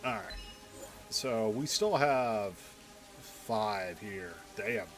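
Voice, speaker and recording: A man's voice: fairly low pitch, expressive, extremely slow, soft background music. A man chatting casually as a game streamer, in an informal, rambling way. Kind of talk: casual live stream chat and reactions.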